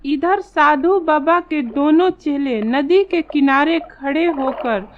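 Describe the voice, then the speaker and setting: High-pitched, slow woman storyteller. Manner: measured, storytelling. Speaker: story narrator. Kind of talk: scripted children's story narration in Hindi. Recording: slightly dull, lo-fi sound.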